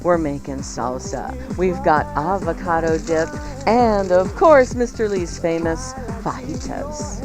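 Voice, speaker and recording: A woman's voice: low voice, expressive, takes it slowly, hardly any pauses, over music. A woman hosting a talk radio show, presenting segments and reading sponsor copy.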